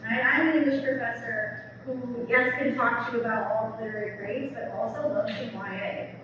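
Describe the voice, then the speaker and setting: A woman's voice: a little high, steady tone, normal speed. A woman professor giving an informal, enthusiastic book talk to an audience, chatty, often adding "like" and "right?". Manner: conversational, peppered with "like" and "right?", enthusiastic. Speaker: speaker, English professor. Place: event room with an audience. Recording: very echoey hall, distant mic, noisy.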